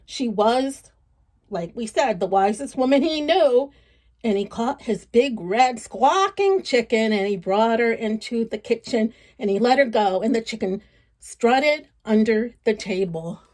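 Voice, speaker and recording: A woman reads aloud to young children in a fairly high voice with a husky, breathy edge. She takes her time, gentle and expressive, and chats to her listeners along the way. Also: measured pace. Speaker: storyteller. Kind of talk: read-aloud storytelling for children.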